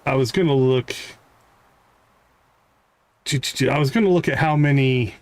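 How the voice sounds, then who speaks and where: A man's voice: medium pitch, a little slow, no echo. A man livestreaming a video game, casually thinking aloud in short phrases as he plays. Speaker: live streamer, game host. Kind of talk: casual gameplay commentary, thinking aloud and reading game dialogue. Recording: music playing behind the voice. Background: game music.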